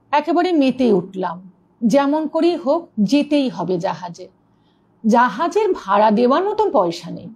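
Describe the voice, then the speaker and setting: High-pitched woman reading a ghost story. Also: a little mellow.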